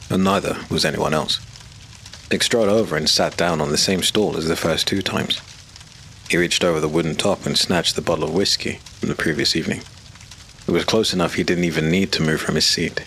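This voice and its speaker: A man narrating a dark fantasy audio drama in a measured, storytelling way. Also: sits low, fairly even intonation, a little hiss or hum, poor audio.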